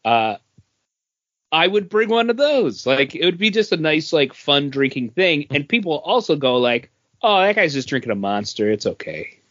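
A man's voice: fairly high pitch, big pitch swings, moderate pace, fairly bright tone, muffled recording. A man talking casually as a podcast co-host, giving his offhand opinions. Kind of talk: casual chat, reviewing drinks.

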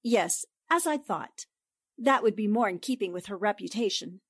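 A woman's voice: mid-range voice, animated, dry recording, studio quality, natural voice. A woman playing a poised, inquisitive newspaper reporter who speaks politely and precisely, in 1940s radio drama style. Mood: confident. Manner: polite, precise, deliberate questioning.

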